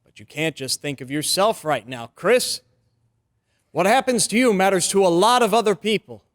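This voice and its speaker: Man acting an earnest loyal lawyer, fairly high voice, dry sound, radio drama style. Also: lively intonation, moderate pace, no room sound. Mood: urgent. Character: earnest, loyal young male lawyer pleading for his friend.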